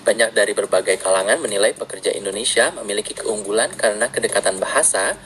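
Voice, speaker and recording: A man reading scripted news narration in an even, formal delivery. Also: on the low side, fast, noisy background.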